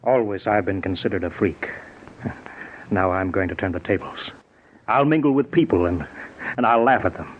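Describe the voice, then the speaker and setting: A man's voice: low-pitched, moderate pace, long pauses, lightly acted. An actor playing an arrogant, resentful inventor drunk on his own power in a 1940s radio drama, insistent and gloating. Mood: gloating, defiant. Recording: tinny, noisy 1940s radio recording.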